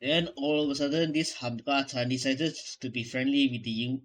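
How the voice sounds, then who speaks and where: A man's voice: medium pitch, steady tone, normal speed, a bit bright. A man giving casual commentary as he reacts to a video game story. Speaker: gaming reaction host.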